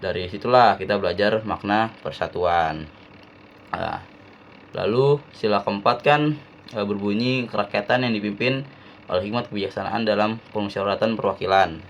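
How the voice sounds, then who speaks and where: A young man from Jakarta, a university student giving a relaxed, slangy class-assignment talk with frequent fillers. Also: lowish voice, normal speed, slight background noise. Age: young adult.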